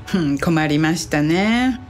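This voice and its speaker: A woman's voice: low voice, lively intonation, slow pace, darkish tone, faint music behind. A woman playing a composed, genteel company president in a radio drama, polite and ladylike. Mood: musing.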